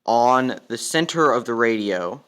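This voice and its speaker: A man's voice: medium pitch, expressive, measured pace, fairly bright tone, smooth. A man giving a casual step-by-step product demonstration in a plain, instructional manner. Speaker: product demonstrator, ham radio operator.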